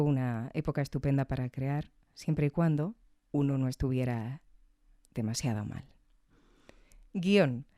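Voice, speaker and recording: A woman's voice: low voice, warm tone, smooth, no echo, clean studio sound. A woman hosting a podcast, reviewing in a conversational, reflective way and often checking in with tag questions. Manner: reflective, frequently seeking agreement with tag questions. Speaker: podcast host.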